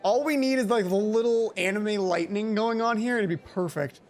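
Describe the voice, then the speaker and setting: An excitable, informal video game commentator who often breaks off and interrupts their own thoughts while narrating a playthrough. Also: medium pitch, normal speed. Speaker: let's play host. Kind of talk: game commentary and read-aloud of a visual novel.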